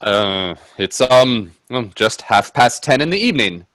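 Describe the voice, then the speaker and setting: Hesitant, skeptical gentleman in radio drama. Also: mid-range voice, lively intonation, measured pace, no room sound. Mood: nervous, puzzled. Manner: stammering, nervous chuckles, deferential. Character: hesitant, skeptical gentleman guest, nervously polite and incredulous. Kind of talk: radio play acting with narration.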